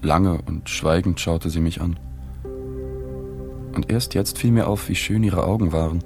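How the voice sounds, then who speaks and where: An actor playing a reserved, observant male journalist in a literary radio drama, speaking in a plain, measured, restrained way. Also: low voice, darkish tone, faint music behind. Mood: reflective. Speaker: narrator.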